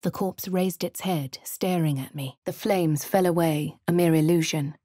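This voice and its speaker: A woman voicing a posh, refined female adventurer in a video game, with a crisp, well-spoken English manner. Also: fairly low pitch, steady tone, a little slow, mellow, dry recording, clean studio sound. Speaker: player character voice lines. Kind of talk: game voice lines and narration.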